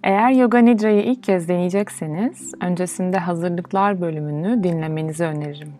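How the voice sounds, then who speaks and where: A woman guiding a meditation in a soothing, measured, instructional way, leaving pauses between phrases. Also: on the low side, dark tone. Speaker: meditation guide. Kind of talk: guided yoga nidra meditation, instructional. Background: music.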